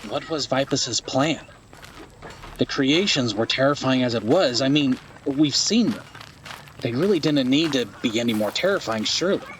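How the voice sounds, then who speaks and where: A man's voice: medium pitch, animated, moderate pace, slight background noise, natural voice. Man playing a curious fantasy companion, slightly distant. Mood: intrigued. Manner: inquisitive, conversational. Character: curious, eager male listener in a fantasy empire. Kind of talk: fantasy audio drama dialogue.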